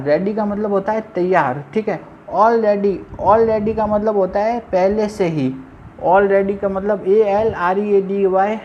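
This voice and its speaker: A woman's voice: medium pitch, mellow. A woman teaching English vocabulary for an exam. She spells words out letter by letter, then asks and answers her own questions. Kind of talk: exam-prep vocabulary lesson. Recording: dull lo-fi sound with faint noise.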